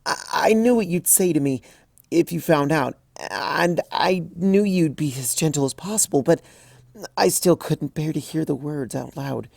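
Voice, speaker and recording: A man doing a flustered young mare, high and strained. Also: unhurried, vocal fry, a little mellow, no echo. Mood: pleading. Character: young female unicorn scholar, earnest, flustered.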